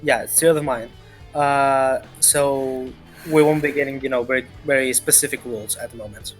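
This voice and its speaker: A man running a tabletop game, improvising narration haltingly and repeating phrases as he thinks. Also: medium pitch, fairly even intonation, soft background music. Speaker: game master.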